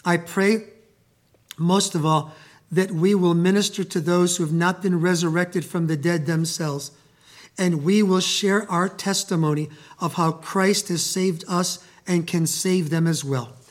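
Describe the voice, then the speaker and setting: A pastor whose first language is Italian delivers a sermon in a church building, his voice sitting notably high for a man. He reads in a slow, emphatic cadence that swells into loud proclamation. In prayer he drops soft and quiet. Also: fairly even intonation. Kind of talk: sermon with scripture reading and prayer.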